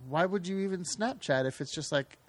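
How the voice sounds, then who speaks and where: Slightly high-voiced guy on a podcast, skeptical, asks a ton of questions. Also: lively intonation, a little fast. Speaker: podcast co-host. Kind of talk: casual chat.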